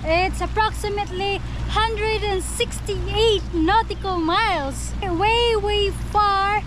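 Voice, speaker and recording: Very high-voiced excitable woman on a boat. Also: takes it slowly. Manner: excitable, frequent loud exclamations. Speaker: sailing vlogger host. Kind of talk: casual vlog narration on a boat. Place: outdoors on a sailboat at sea. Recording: wind noise on an outdoor mic. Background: wind and boat noise.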